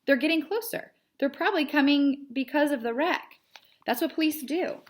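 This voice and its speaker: A patient male cat from a children's storybook, voiced by a woman. He explains carefully in a raised pitch, a little hesitant. He sounds sensible and quietly exasperated. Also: normal speed, natural voice. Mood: incredulous. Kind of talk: children's storybook character read aloud.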